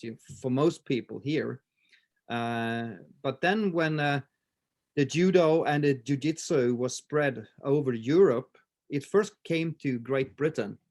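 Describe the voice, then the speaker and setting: A man in his fifties with a Swedish accent, talking in a casual interview with many pauses, fillers and restarts. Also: medium pitch, a little mellow. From Sweden. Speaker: martial arts instructor, guest.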